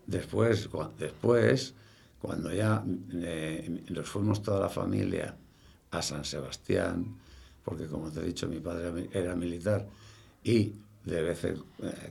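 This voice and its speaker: A man in his early seventies with a Castilian Spanish accent, casually reminiscing in an interview in a halting way, repeating words as he recalls. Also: deep voice, gravelly, a little mellow. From Spain. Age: early 70s.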